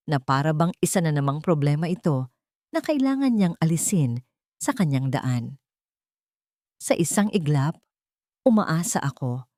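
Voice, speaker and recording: A woman narrates a scripted first-person dramatic story in a solemn, measured, emotive way. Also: lowish voice, darkish tone, no room sound, clean studio sound.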